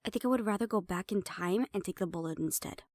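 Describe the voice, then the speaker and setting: A woman's voice: mid-range voice, quick pace, a little mellow, no room sound, lightly acted. A woman playing a sly, defiant half-banshee siren in an audio roleplay, sarcastic and playful as she mocks the listener. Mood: bored, sarcastic.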